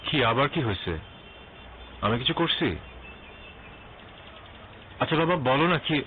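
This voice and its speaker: A man's voice: a little high, lively intonation, measured pace. An actor playing an exasperated young man in a TV drama, defensive yet coaxing, with a Bangladeshi accent. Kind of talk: TV drama acting. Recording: thin phone-like sound, faint noise.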